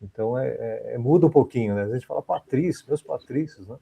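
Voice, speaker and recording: Guy on a video call, mellow dark voice, lots of umms and restarts. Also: mid-range voice, a little fast, creaky, slightly rough. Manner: thinking aloud, many fillers and restarts. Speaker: study group member. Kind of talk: explaining a word in group discussion.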